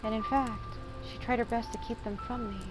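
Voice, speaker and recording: A woman's voice: on the high side, steady tone, normal speed. A woman game streamer giving informal, reactive playthrough commentary and reading game text aloud. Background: game music.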